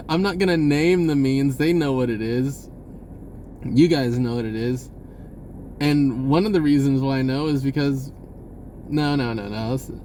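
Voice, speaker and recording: A man giving an unscripted personal message in a casual, halting, rambling way with short broken phrases. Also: mid-range voice, a little mellow, a little hiss or hum.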